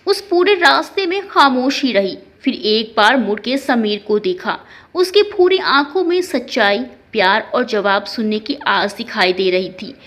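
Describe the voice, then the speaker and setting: A woman narrating an emotional first-person story in an expressive, dramatic way. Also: a little high, unhurried.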